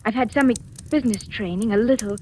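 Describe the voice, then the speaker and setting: A woman's voice: on the high side, fast, warm tone, natural voice. An actress playing an earnest, timid woman in her twenties, hesitant and stammering, in 1940s radio style. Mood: anxious confession. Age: 20s. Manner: hesitant, breathless stammering, eager. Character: earnest, timid young woman of twenty-five seeking work. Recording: noisy old 1940s radio recording.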